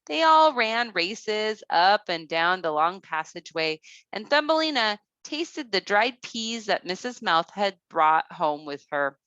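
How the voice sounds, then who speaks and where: A woman storyteller narrating a children's tale, expressive and careful in her read-aloud delivery. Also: medium pitch, a little slow, dry recording, muffled recording.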